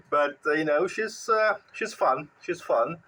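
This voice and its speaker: A man in his forties with a Polish accent, chatting casually as a ham radio operator in a halting way, often repeating words. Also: fairly high pitch, animated, sharp tone. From Poland. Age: 40s. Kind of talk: casual radio contact chat.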